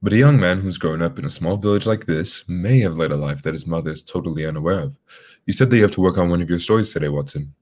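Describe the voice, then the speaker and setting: A man playing a composed, courteous gentleman detective in a radio drama, with formal, polite wording. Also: low-pitched, fast talker. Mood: brisk, thoughtful.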